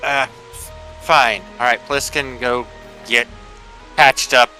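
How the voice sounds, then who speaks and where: A man's voice: medium pitch, fairly even intonation, slow pace, sharp tone, poor audio. A man playing a tabletop game, speaking in casual in-character banter. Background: background music.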